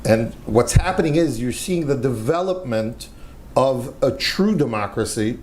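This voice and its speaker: An older man with an American accent speaks on a panel in a conversational tone, repeating key points for emphasis. His pitch is average for a man. A faint background noise sits under the voice. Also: animated, measured pace, a little mellow. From United States. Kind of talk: panel discussion remarks.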